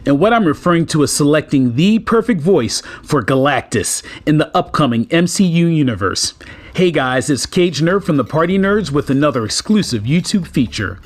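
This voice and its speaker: A man's voice: on the high side, lively intonation, normal speed. A man hosting a scripted online entertainment video, addressing fellow fans informally and emphatically. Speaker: YouTube host.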